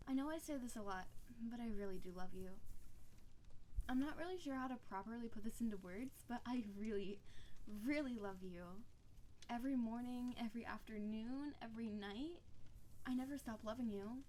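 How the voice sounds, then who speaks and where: Snow-woman wife for audio roleplay, played by a woman: highish, mellow, clingy and intensely tender, over noticeable background ambience. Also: a little hiss or hum, lightly acted. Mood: adoring. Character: doting, possessive, needy snow-woman spirit wife. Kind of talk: intimate scripted audio roleplay monologue.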